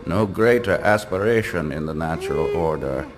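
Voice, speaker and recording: A man's voice: extremely low pitch, expressive, moderate pace. An actor playing a sinister, erudite vampire scientist in a video game, pompous and smugly savoring his florid words. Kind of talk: theatrical video game villain acting.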